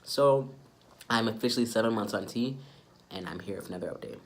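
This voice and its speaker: A young adult man with a congested, stuffy-nosed sound from a cold, giving a casual, low-key update to camera. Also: fairly quick, slight background noise. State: sick with a stuffy nose. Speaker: vlogger.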